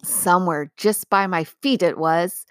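A woman's voice: fairly low pitch, a little slow, no room sound, studio quality, natural voice. A woman voicing a huge, good-tempered male giant in a storybook, groggy and blustering with puzzlement. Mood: groggy, puzzled.

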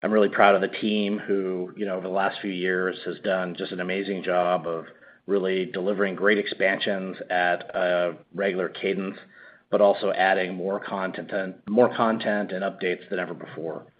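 A man's voice: low-pitched, little pitch movement, normal speed. A man answering as a company executive on an earnings call in a conversational way, often padding with "you know". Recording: slightly dull conference call audio.

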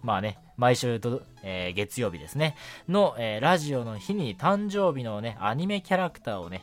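A man hosting a night radio show, chatting casually and politely on his own, often punctuating his talk with short affirmations. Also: lowish voice. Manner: chatty, polite presenter phrasing with frequent short self-affirmations. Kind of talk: casual solo radio talk about anime and manga.

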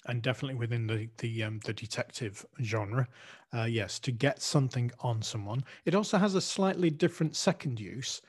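A man's voice: mid-range voice, fairly quick, husky. A man hosting a language lesson podcast, explaining expressions carefully and spelling out words letter by letter. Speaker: podcast host, English teacher.

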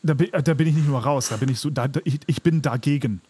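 Male podcast co-host, raspy and dryly recorded, arguing a skeptical point in loose, halting conversation. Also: a little high, warm tone, no room sound. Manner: skeptical, argumentative, halting restarts. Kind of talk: casual conversational chat.

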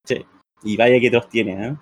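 A man's voice: medium pitch, fairly even intonation, moderate pace, slightly rough, no room sound, dull audio. A man with a Chilean accent chatting casually as a football commentator, teasing and jokingly exaggerating. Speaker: football commentator on a group broadcast. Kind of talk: casual football banter during a live match.

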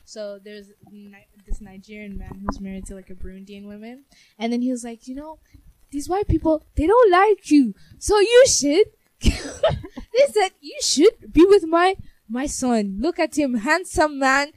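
A woman's voice: high-pitched, lively intonation, a little slow, poor audio. A seventeen-year-old girl chatting on a podcast, acting out what her relatives said in exaggerated voices. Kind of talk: casual chat.

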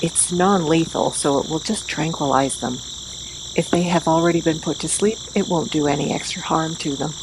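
Confident female raid leader, acted by a woman: deep for a woman, matter-of-fact, faint noise behind. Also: normal speed. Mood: confident scheming. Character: confident, calculating female vigilante leading a stealth raid. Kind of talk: amateur audio play acting.

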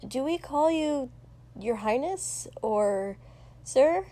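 A woman voicing a gentle but protective young man in an audiobook, with an earnest, sometimes hesitant manner. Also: high voice, animated, unhurried, pitched above the performer's own voice. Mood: gently teasing.

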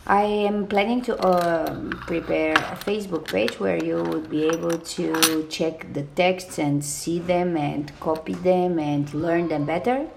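Bulgarian woman, 30s, podcast host; slow, gravelly, lowish voice, earnest and halting. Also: steady tone, noisy background. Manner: hesitant, pauses often with fillers and restarts; earnest and enthusiastic. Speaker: Chinese language podcast host. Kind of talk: self-introduction to listeners.

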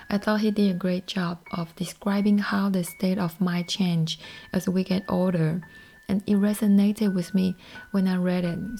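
A woman from Taiwan whose first language is Mandarin, speaking English with a Taiwanese accent as a podcast host, in a soft, airy, half-whispered scripted monologue. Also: on the low side, creaky, slight background noise, poor audio.

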